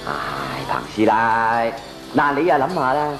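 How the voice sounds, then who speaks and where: A man's voice: medium pitch, measured pace, lightly acted. An actor playing a scheming, gleeful male neighbour in a comic radio drama, with a Hong Kong Cantonese accent. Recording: echoey radio play with music behind.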